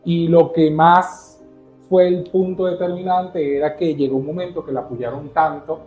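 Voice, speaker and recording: A man from Caracas with a Venezuelan accent, telling his story as an interview guest in an earnest, halting way with many restarts. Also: a little high, steady tone, echoey room, narrow, tinny audio, soft background music. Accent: Venezuelan Spanish.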